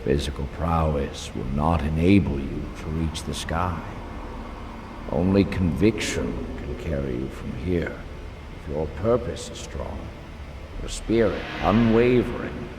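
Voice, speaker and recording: An actor playing a sage, exacting mentor in a game, speaking in a measured, formal and solemn way. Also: male voice, very deep voice, noisy background. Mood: solemn, urging. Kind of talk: video game cutscene acting.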